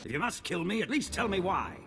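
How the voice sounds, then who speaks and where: An actor playing a proud, indignant duke in a video game, with a blustering aristocratic manner. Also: male voice, very animated, a little fast, vocal fry, exaggerated voice. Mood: indignant alarm. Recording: reverberant hall with rumbling noise.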